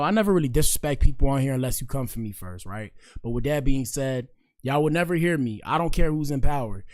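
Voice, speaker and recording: A young man from Miami-Dade County with a hoarse, partly lost voice, hosting a casual podcast livestream. He rambles loosely in slang and speaks straight to his chat. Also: fairly high pitch. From Miami-Dade County, Florida. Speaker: podcast host.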